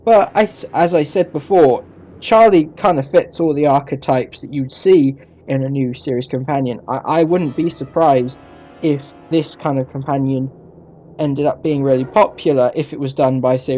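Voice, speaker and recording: A man giving a casual review as a video host, in a halting, self-correcting way. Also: medium pitch, steady tone, dark tone, lo-fi sound. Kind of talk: casual audio drama review.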